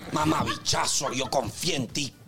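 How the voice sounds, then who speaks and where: A man voicing a brash, flirty male music manager with a Puerto Rican accent, boastful and quick to take offense on a comedy stream. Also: medium pitch, creaky, a loud crowd, lightly acted. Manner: boastful, indignant, slangy. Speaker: comedy character on a streaming show.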